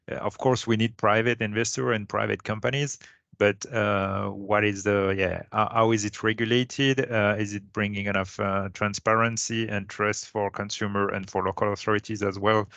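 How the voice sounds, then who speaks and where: A man's voice: lowish voice, steady tone, normal speed, creaky, fairly bright tone, no room sound. A man moderating a panel discussion, speaking hesitantly with many restarts and fillers.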